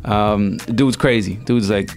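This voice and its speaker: A man's voice: fairly low pitch, fairly even intonation, measured pace, darkish tone, soft background music. A man from El Salvador, a rapper guest, telling his story in a loose, slangy, laid-back way on a radio show. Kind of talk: casual radio interview.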